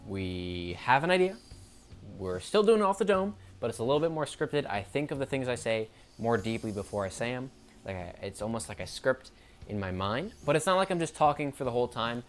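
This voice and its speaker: Man, rapid talker, rambling, self-correcting, average pitch. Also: big pitch swings. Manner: rambling, corrects himself mid-sentence; stutters now and then. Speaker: YouTuber. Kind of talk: unscripted casual monologue to camera.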